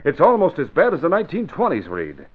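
An earnest police commissioner in a 1940s radio drama. He is grave and emphatic, his pitch swinging widely for stress. The sound is tinny and narrow, like an old broadcast. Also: huge pitch swings, fast, background noise. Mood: grave concern. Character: earnest male police commissioner worried about organized crime.

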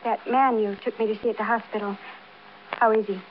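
A woman's voice: on the high side, steady tone, fairly quick, close to an everyday voice. An actress playing a fragile, newly widowed American woman, genteel, hesitant and politely evasive, in old-time radio drama. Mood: evasive, tense. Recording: muffled, noisy vintage radio broadcast.

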